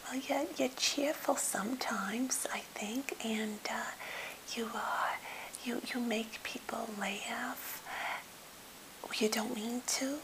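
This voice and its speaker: A woman acts out an anxious lady therapist who is a bit off but eager to help. She whispers breathily and takes her time, halting often and staying fussily polite. It is an ASMR role play, with a light hiss on the recording. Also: a little high, fairly even intonation. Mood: gently encouraging. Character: anxious, well-meaning, slightly off woman therapist held captive. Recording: hissy, noisy home recording.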